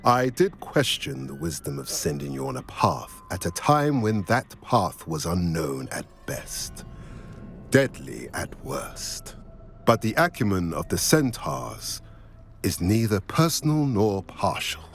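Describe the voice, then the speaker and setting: An actor playing a wise old male centaur who reads the stars, speaking in a measured, cryptic way in a video game. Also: low voice, big pitch swings, soft background music, exaggerated voice. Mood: solemn. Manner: slow, measured, solemn and riddling.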